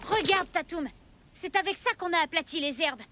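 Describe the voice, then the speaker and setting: A woman playing an earnest, curious and brave prehistoric child in a French-dubbed children's cartoon, expressive and childlike. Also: very high voice, brisk. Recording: loud music behind the dialogue.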